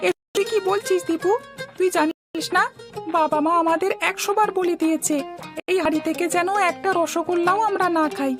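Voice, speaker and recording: Casting: obedient, nervous cartoon village kid, very high small voice, fretful, over background music. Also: female voice, steady tone, fairly quick. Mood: anxious. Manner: anxious questioning. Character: timid, worried, obedient young village boy. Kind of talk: cartoon voice acting.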